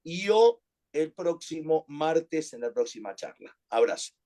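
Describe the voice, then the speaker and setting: A man's voice: a little high, very animated, a little slow, mellow. A man with an Argentine accent leading an online class, speaking in an earnest, reflective way that halts at times. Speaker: teacher.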